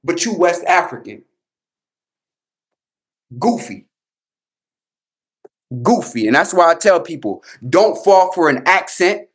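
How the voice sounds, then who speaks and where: A man's voice: high voice, big pitch swings, slow, pauses often. A man with an American accent giving casual reaction commentary in a combative, emphatic, slangy way, repeating himself for punch. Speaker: host, babalawo commentator.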